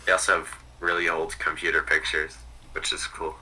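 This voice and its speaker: A man's voice: very deep voice, little pitch movement, normal speed, bright, forceful tone, husky, far from the mic, low-quality recording. A man chatting casually as a podcast guest, tentative and self-effacing, his sentences often trailing off. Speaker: podcast guest, hobbyist archivist. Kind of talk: casual chat.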